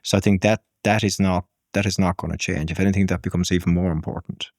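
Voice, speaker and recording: Low-voiced Irish man, thoughtful and measured. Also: steady tone, darkish tone, dry recording, clean studio sound. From Ireland. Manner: measured, thinking aloud with frequent ums. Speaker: guest business executive. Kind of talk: podcast interview conversation.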